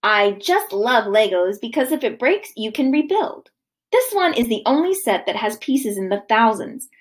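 A woman in her thirties, a kindergarten teacher, reading a children's book aloud with expressive, sing-song delivery. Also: on the high side, moderate pace, breathy edge. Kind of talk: read-aloud of a children's book to young kids. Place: living room at home, indoors.

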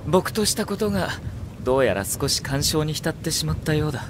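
An actor playing a refined, cerebral young strategist in an audio drama, with elegant, courtly, probing phrasing. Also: male voice, fairly high pitch, very animated, a little fast, noisy background. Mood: calm, devoted. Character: refined, cerebral young male strategist, coolly devoted to his lord. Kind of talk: Japanese audio drama acting.

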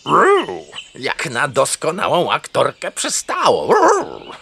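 Wildly animated, sardonic dog. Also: male voice, on the high side, slow pace, gravelly, a little hiss or hum, heavily acted. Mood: mocking. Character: sardonic farmyard dog, teasing and wary. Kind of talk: children's radio play acting.

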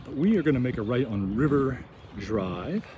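A man narrating casually while walking through town outdoors, rambling and halting with many ums and restarts. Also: medium pitch, very animated. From United States. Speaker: walking tour vlogger. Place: outdoors on small-town streets. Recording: outdoor street noise and wind. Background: street ambience, passing vehicles.

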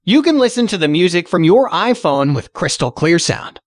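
High, lively male product-review narrator. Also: normal speed, dry recording, clean studio sound. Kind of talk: scripted promotional voice-over narration.

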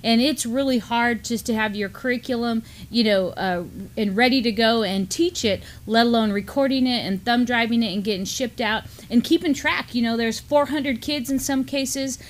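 Middle-aged woman, fairly high voice. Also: normal speed, hardly any pauses. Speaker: co-host and educator. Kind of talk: casual news commentary. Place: indoor studio desk, backdrop.

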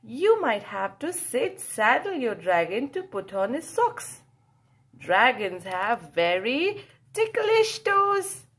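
A woman reading a picture book aloud to children, expressive and playful, drawing out key words for emphasis. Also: a little high, slow pace, slightly rough. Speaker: children's storyteller.

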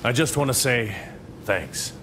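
An actor playing a friendly, gruff military squad soldier in a video game cutscene. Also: male voice, measured pace, natural voice. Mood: earnest, curious. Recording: loud aircraft rumble behind.

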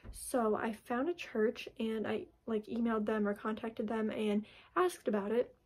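A young woman talks straight to a close camera in her bedroom, her voice a bit raspy as she recovers from being sick. She sits fairly high in pitch with a soft, mellow tone. She rambles loosely, padding her sentences with "like" and restarting them. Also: fairly even intonation, unhurried. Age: young adult. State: raspy from recent illness. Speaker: vlogger. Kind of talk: casual personal vlog. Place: bedroom, indoors. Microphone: camera in front at close range.

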